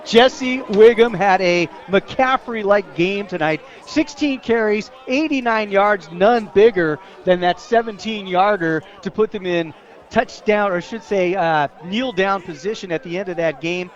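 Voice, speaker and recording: High-voiced American male football color commentator. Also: a little slow, dull audio. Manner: enthusiastic, admiring, conversational. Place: outdoor football stadium. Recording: stadium crowd behind, outdoor game broadcast. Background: stadium crowd.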